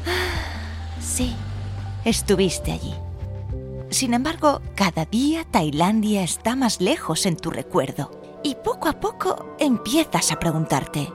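A woman's voice: mid-range voice, natural voice. A woman with an accent from Spain narrating radio fiction, wryly addressing the listener directly.

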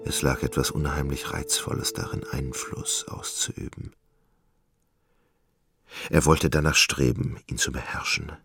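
A man's voice: rumbling low, fairly even intonation, a little slow, gravelly, long pauses, fairly bright tone, husky. A man narrating a literary radio play from a script. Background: music.

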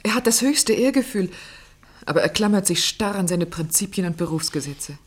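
A woman's voice: medium pitch, lively intonation, normal speed, natural voice. An actress playing an earnest, worried young woman of principle in a German radio play, restrained and serious with careful diction. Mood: anxious, earnest.

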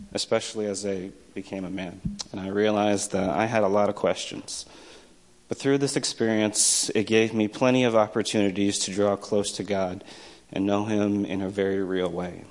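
An American man telling a personal story to listeners in a wry, self-deprecating manner. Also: lowish voice. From small town in the United States. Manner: wry, self-deprecating, acts out remembered dialogue. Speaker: storyteller, poet and teacher.

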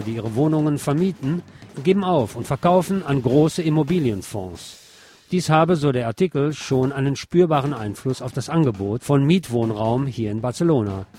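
A man's voice: medium pitch, a little mellow. A man reading scripted radio news and commentary, adding opinionated asides of his own.

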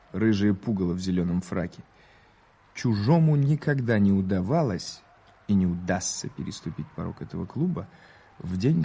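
An actor playing an eager, ironic American newspaper correspondent in a radio play, theatrically formal and wry. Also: male voice, sits low, vocal fry, warm tone, breathy edge, heavily acted. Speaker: actor narrating and playing a reporter. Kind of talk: radio play storytelling and dialogue.

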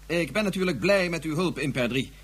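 A man's voice: on the high side, animated, a little fast, husky. An actor playing a worried father who is searching for his lost baby, asking direct questions. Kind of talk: radio drama acting.

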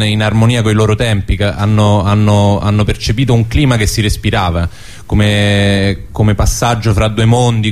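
A man speaking unscripted in a radio discussion, halting and repeating words as he searches for phrasing. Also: on the low side, a little mellow.

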